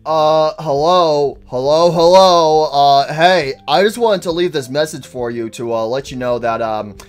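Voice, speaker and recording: A performer playing a casually menacing caller, hesitant and deadpan, as if leaving a message. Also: mid-range voice, measured pace, clear, resonant tone, pitched below the performer's own voice, lightly acted. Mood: deadpan menace.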